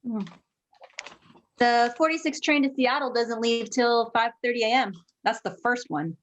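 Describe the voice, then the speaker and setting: Actress as a young traveler, dull audio. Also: female voice, fairly high pitch, a little slow, lightly acted. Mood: casual. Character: young woman traveler chatting at a bar. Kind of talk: dramatized play script reading.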